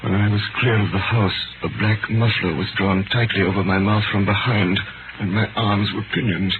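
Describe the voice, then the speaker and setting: Guy acting bitter old-time radio prisoner narrating gravely, deep voice, really noisy recording. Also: steady tone, natural voice. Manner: grave, deliberate, dramatic. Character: imprisoned French doctor, anguished, bitter, solemn, writing his testament. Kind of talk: 1930s radio drama acting, dramatic narration. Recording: scratchy, tinny 1938 radio broadcast, loud noise.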